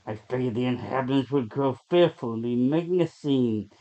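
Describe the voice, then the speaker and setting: A man reading a horror story aloud as a dramatic narrator, with deliberate, suspenseful delivery. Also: medium pitch, mellow. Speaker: story reader. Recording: dull, lo-fi sound.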